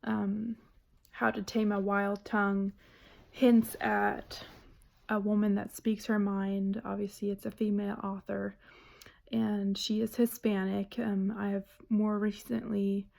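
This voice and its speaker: Woman, English speaker, halting with many pauses, monotone, low and unhurried. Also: vocal fry. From Germany. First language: German. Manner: hesitant, frequent "um" pauses. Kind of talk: spoken reading response.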